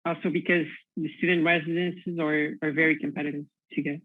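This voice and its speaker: A man's voice: on the high side, flat delivery, a little fast, no room sound. A young man from Portugal, a university student, answering questions on an online panel and repeating words as he searches for them. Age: young adult. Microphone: video call. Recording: tinny phone-like video call audio.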